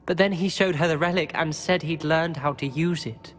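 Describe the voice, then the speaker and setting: A man's voice: fairly high pitch, fairly even intonation, moderate pace, a bit bright, faint music behind, close to an everyday voice. A man playing a worried, reproachful teenage schoolboy wizard in a video game. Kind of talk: video game dialogue acting.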